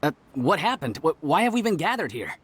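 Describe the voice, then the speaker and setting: Regular villager npc in a video game, talks fast, kinda hesitant n polite, lively pitch. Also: male voice, a little hiss or hum. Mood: confused. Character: ordinary villager, polite but reluctant dreamer.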